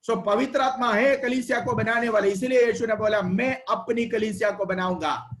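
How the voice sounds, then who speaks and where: A man's voice: high voice, moderate pace, fairly bright tone. A man teaching a Bible study over an online call, repeating words, prompting a reader and checking that he is heard.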